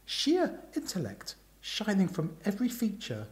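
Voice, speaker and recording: A man reading a comic story aloud as an expressive literary narrator. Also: high-pitched, measured pace. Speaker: story reader.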